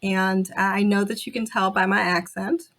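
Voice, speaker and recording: A woman's voice: medium pitch, steady tone, normal speed, a bit bright. A woman from Jamaica, a podcast guest in a casual interview, speaking reflectively with many fillers and restarts.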